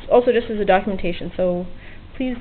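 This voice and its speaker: A woman's voice: mid-range voice, fast talker. Woman chatting casually on tinny camera audio. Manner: informal, self-deprecating, mildly sweary. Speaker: hobbyist vlogger showing an electronics project. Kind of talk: casual unscripted show-and-tell. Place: small home work area by an open window. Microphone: handheld camera's built-in mic. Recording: tinny, band-limited camera audio with hiss. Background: faint outdoor weather ambience.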